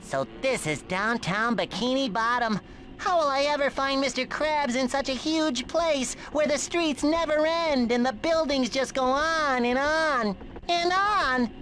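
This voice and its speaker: Squeaky, high cartoon sea sponge. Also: male voice, measured pace, faint music behind, low-quality recording. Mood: worried. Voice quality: squeaky, nasal. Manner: excitable, exclamatory, earnest. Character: childlike, eager, naive male cartoon sea sponge. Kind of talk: cartoon video game acting.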